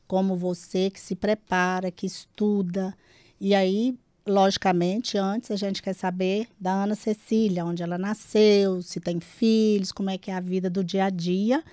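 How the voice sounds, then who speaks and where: A Brazilian woman hosts a conversational interview podcast. Her voice is dark and relaxed, with very little room sound around it. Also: mid-range voice, a little slow, dry recording. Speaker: podcast host interviewing a guest.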